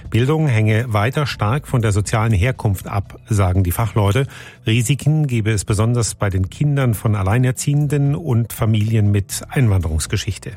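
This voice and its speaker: A man's voice: on the low side, a little mellow. A man reading scripted radio news bulletins in a formal announcing style. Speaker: radio newsreader.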